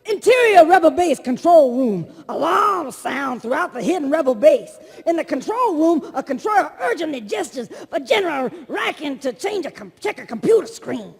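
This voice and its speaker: Male comic script narrator, gravelly and lively, projected delivery in a reverberant hall. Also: very high-pitched, moderate pace, few pauses. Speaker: narrator reading stage directions. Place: on stage at a large convention hall. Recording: echoey convention hall.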